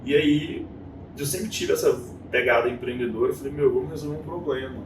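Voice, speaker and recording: A man's voice: medium pitch, a little fast. Brazilian man telling stories in an echoey room. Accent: Brazilian Portuguese. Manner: animated storytelling, acting out quoted voices. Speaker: guest. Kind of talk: casual interview chat. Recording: echoey room, distant mic, noisy.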